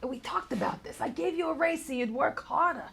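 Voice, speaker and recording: A woman with a creaky voice playing a flustered middle-aged male bar owner at a live comedy table read. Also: high voice, expressive, fairly quick, fairly bright tone, a little hiss or hum, heavily acted. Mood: exasperated. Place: on stage at a comedy theater. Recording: live theater with audience.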